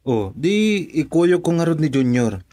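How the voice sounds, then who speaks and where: An actor playing an easygoing, tipsy husband in an Ilocano radio drama, jovial and drawling, with an Ilocano accent. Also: male voice, fairly high pitch, very animated, measured pace, warm tone. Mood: teasing, caring. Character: easygoing, tipsy husband home late from drinking.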